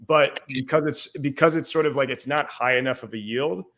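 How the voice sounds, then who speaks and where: Man from ireland, young adult, casual podcast guest, middle pitch, loose rambling delivery, lots of fillers. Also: a little fast, fairly bright tone. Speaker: guest historian.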